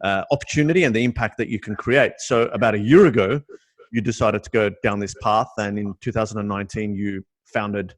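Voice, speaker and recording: A man's voice: lowish voice, fairly quick. A middle-aged man hosting an interview in a casual, upbeat and enthusiastic way. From Sydney, Australia. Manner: upbeat, enthusiastic, friendly questioning. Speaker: interview host.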